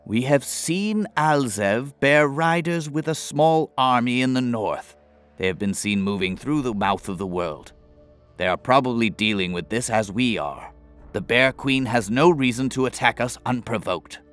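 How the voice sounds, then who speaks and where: A man's voice: medium pitch, lively intonation, a little slow, fairly bright tone. Council lord male performer for fantasy radio play: mid-range, blustering, emphatic, music underneath. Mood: dismissive. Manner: emphatic, spluttering. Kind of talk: fantasy audio drama acting.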